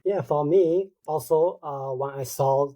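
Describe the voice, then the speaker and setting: A man with a Chinese accent, speaking as a guest on a podcast. His voice is mellow and a bit higher than usual for a man. He talks haltingly and often pauses on "uh.". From China. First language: Mandarin. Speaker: linguist guest.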